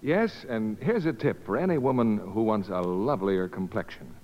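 A man's voice: fairly low pitch, normal speed. An American man announcing scripted 1950s radio advertising. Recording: muffled old radio recording, hall reverb.

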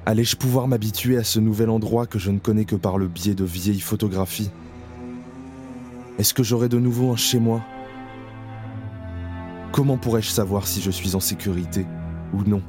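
An actor playing a haunted male survivor in an audio drama, speaking slowly and introspectively in the first person. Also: lowish voice, steady tone, over music, natural voice. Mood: anxious. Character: haunted male camp survivor, numb and fearful.

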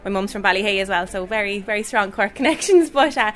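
A woman's voice: mid-range voice, lively intonation, fast. A young woman, a pageant contestant chatting in a rambling way with many fillers. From Ireland. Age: young adult. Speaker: hospital worker, pageant contestant. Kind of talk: casual interview chat.

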